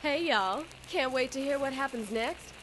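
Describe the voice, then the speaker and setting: A woman's voice: high voice, expressive, moderate pace, fairly bright tone, soft background music, poor audio. A woman reading a casual sponsor advertisement as an announcer.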